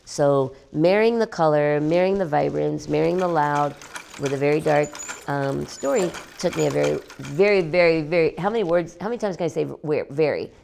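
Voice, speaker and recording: A woman artist talking about her work in an interview, animated and emphatic, repeating words for effect. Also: low-pitched, normal speed, vocal fry, slight background noise. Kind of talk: gallery interview.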